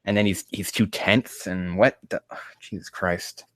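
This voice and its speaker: A man's voice: sits low, fairly even intonation, measured pace, fairly bright tone, no room sound, clean studio sound. A man casually reading aloud and commenting on a live stream, rambling, swearing and sounding exasperated as he mocks the writing.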